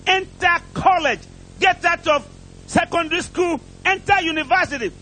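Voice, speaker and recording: A man's voice: very high-pitched, normal speed, bright, forceful tone, a little hiss or hum. A man preaching a sermon from a pulpit in an emphatic, declamatory way, with short repeated phrases and rhetorical questions. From outside England, preaches there as a visitor. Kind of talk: impassioned prosperity sermon. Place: church pulpit, preaching to a congregation.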